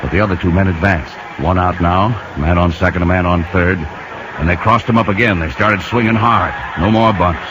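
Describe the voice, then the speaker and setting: A man's voice: deep voice, fairly even intonation, fairly quick, natural voice. An actor playing a folksy man who narrates a baseball story dramatically in a 1950s radio drama. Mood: excited, suspenseful. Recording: noisy, muffled old radio recording.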